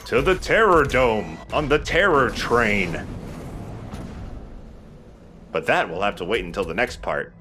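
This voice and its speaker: A man's voice: mid-range voice, lively intonation, unhurried, pauses often, bright, forceful tone, faint music behind. A man giving casual, conversational gaming commentary as a let's play host, swearing now and then. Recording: faint background noise.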